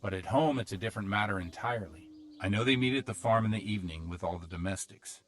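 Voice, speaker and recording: An actor playing a cautious, protective older village priest in a video game, speaking plainly and earnestly. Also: male voice, on the low side, quick pace, a bit bright, close to an everyday voice. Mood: earnest, resolute. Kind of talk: video game cutscene acting. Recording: music behind the voice.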